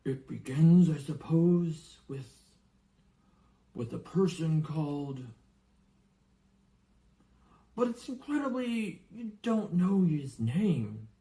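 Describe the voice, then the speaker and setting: Man playing a big blustering gruff giant. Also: a little high, expressive, takes it slowly, warm tone, voice pushed up. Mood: anxious, hesitant. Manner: rustic, blustering exclamations and hesitant asides. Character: big gruff excitable kindly male giant.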